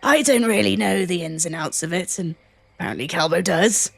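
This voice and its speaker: A woman's voice: fairly low pitch, a little fast, gravelly, dry recording. A woman answering as a player, hesitant and rambling.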